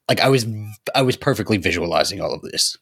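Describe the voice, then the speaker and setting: Deep, husky man chatting animatedly. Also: animated, fast, a bit bright. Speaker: podcast panelist. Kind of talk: casual chat.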